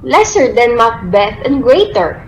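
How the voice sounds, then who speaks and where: Amateur radio drama witch female actor: eerie, chanting, slow and high-pitched. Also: big pitch swings, crowd noise. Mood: ominous.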